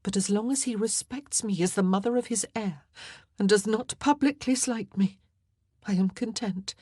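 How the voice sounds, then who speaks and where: A woman voicing an anxious, proud young queen in an audiobook, speaking in an earnest, measured way. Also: medium pitch, warm tone, dry recording, clean studio sound, pitched above the performer's own voice, close to an everyday voice. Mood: resigned. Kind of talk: audiobook character dialogue.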